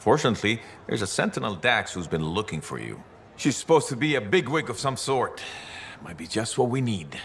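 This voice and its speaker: An actor playing a steady, pragmatic male mentor in a video game, calm and reassuring in manner. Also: fairly low pitch, a little fast, vocal fry, a little hiss or hum, heavily acted. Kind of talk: video game cutscene acting.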